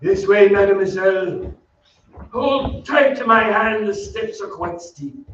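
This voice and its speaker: An actor playing a masked, lovesick, possessive phantom in an old-time radio drama read on stage. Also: male voice, sits high, takes it slowly, fairly bright tone, recorded from a distance. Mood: smooth, coaxing. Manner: cajoling, possessive, theatrical. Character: masked, obsessive, lovesick phantom luring a young singer. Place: theatre stage, away from the mic. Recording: dull, noisy, roomy stage pickup from afar.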